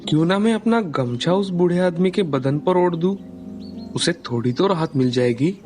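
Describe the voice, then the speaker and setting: A man's voice: fairly high pitch, lively intonation, normal speed, music in the background, lightly acted. A man voicing a kind, humble and honest street vendor in a moral story, speaking politely and earnestly. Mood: caring. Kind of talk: dramatized Hindi moral storytelling.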